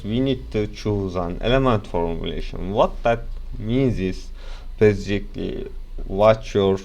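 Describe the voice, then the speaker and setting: A young man with a Turkish accent, casually walking through a software tutorial with rambling asides and self-corrections. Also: fairly low pitch. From Turkey.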